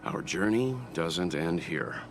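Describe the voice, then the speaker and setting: An actor playing a calm, steadfast travelling companion in a video game, speaking earnestly and steadily. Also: male voice, low voice, takes it slowly, over music, heavily acted. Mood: earnest, resolute. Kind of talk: English video game voice acting.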